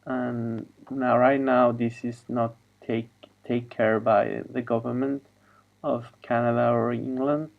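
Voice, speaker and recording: A man whose first language is Spanish, talking in a halting, wandering monologue over a video call. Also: medium pitch, little pitch movement, creaky, narrow, tinny audio. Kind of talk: rambling monologue.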